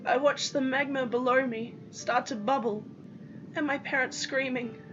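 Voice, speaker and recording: A woman's voice: high-pitched, steady tone, normal speed. A young woman giving a dramatic first-person reading of a story, on a lo-fi recording with music behind. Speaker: reader of own story.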